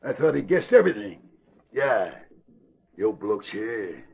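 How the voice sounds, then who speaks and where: An actor playing a tough, sneering gunman with gruff, slangy gangster talk in an old radio drama. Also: on the low side, very animated, measured pace, full character voice. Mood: gloating. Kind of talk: 1940s radio acting. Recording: dull lo-fi old radio recording.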